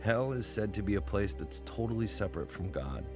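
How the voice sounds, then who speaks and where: An actor playing a troubled young male airman, narrating his story gravely in first person in a radio drama. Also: on the low side, animated, a little fast, music in the background, lightly acted. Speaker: narrator and main character.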